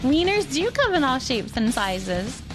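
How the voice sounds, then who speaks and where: A woman's voice: high voice, big pitch swings, unhurried, music in the background, low-quality recording. A woman livestreamer chatting casually and ramblingly with her viewers in a chatty, giggly manner. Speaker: live streamer. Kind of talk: casual livestream chat, reading and answering viewers.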